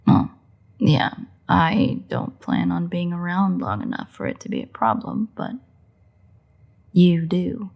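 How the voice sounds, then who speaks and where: Girl doing a cocky vampire lady for audio roleplay, lowish creaky voice, teasing n wry. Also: expressive, takes it slowly, long pauses, a little mellow, exaggerated voice. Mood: blunt, tired. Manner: teasing, wry, drawling, sighing. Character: playful teasing female vampire, cocky and foul-mouthed. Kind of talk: intimate audio roleplay acting.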